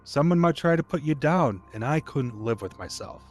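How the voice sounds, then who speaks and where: An actor playing a protective, guilt-ridden deputy father in an audio play, speaking tenderly and haltingly with a stern edge. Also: mid-range voice, lively intonation, darkish tone, soft background music. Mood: tender, reassuring. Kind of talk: dramatic audio theatre acting.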